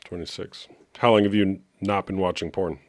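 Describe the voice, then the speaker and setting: A man hosting a live call-in show, talking in a relaxed, steady way and asking callers short, direct questions. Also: low-pitched, brisk, slight background noise. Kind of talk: casual call-in talk.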